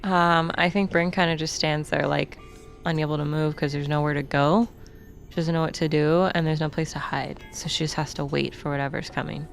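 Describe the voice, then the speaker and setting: A woman's voice: low-pitched, steady tone, fairly quick, gravelly, faint music behind. A woman playing a tabletop roleplaying game, describing her character's actions in casual, informal chat. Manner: informal, peppered with "like" and "kinda".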